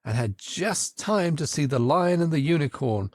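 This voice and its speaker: A man narrating a children's story in an expressive, theatrical audiobook style. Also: fairly high pitch, normal speed, no room sound.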